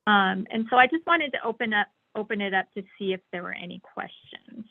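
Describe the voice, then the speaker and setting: A woman's voice: mid-range voice, animated, a little fast, gravelly. A woman giving a slide presentation on a conference call over a thin, tinny phone line. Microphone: phone or online call.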